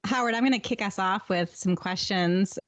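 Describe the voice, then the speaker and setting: A woman's voice: mid-range voice, normal speed, dry recording, muffled recording. A woman, guest co-hosting a podcast and asking an author questions. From Boston area, South Shore. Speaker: guest co-host. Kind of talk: interview questions.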